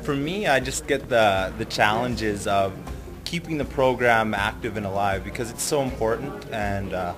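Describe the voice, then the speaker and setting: Young male co-chair, low voice, sincere, loose delivery over music. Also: normal speed. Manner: warm, sincere, lightly rambling. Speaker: committee co-chair. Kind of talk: interview testimonial.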